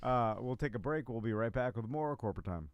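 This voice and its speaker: A man co-hosting a talk show with casual comedic banter, rambling and quick to laugh. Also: mid-range voice, expressive, smooth, muffled recording.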